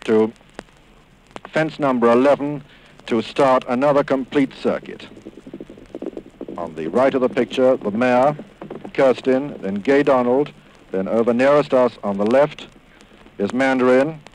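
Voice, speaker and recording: A man's voice: medium pitch, measured pace, long pauses, a little mellow. A British man giving formal, precise live horse race commentary in a 1950s broadcast style. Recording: noisy 1950s 78 rpm record.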